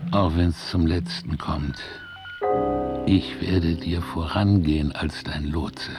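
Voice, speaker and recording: An actor playing a mysterious, solemn prophet aboard a whaling ship in a radio drama, speaking in portentous tones. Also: male voice, low-pitched, steady tone, slow, over music. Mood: ominous, solemn. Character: ominous, calm prophet, mysterious Parsee harpooner.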